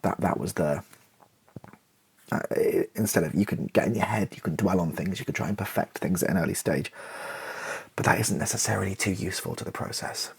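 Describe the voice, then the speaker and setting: Low, husky, creaky British man. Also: a little fast. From Britain. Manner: hesitant, thinking aloud. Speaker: YouTuber and theatre writer. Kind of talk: rambling, casual talk to the listener in an ASMR video.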